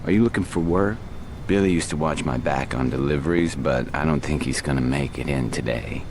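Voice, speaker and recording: An actor playing a casually menacing drug dealer, laid-back and dryly understated, in a video game cutscene. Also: male voice, a bass voice, brisk, background noise. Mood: casual, understated menace.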